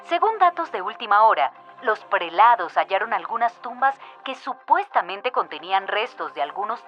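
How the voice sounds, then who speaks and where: An actress voices a female radio drama news announcer with lively, swinging intonation. Her delivery is formal and emphatic, heard through dulled radio sound over music. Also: mid-range voice, dull audio, natural voice. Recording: band-limited radio sound with music behind.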